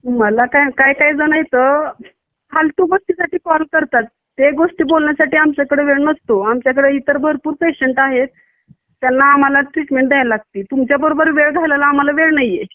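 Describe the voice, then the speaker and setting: High-voiced Maharashtrian woman on tinny phone line. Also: fairly even intonation, fairly quick, no echo. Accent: Maharashtrian Marathi. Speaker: doctor. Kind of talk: casual phone conversation. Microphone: phone call.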